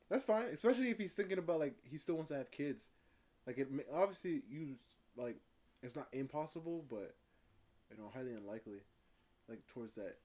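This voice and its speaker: A man's voice: mid-range voice, a little mellow. A man giving casual commentary in a slangy, rambling, emphatic way. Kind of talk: casual reactive commentary in chat.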